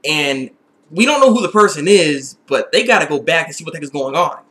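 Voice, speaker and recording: A young man giving a casual, excitable episode recap, repeating phrases for emphasis. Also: fairly high pitch, brisk. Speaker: TV episode reviewer. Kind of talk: casual video recap and review.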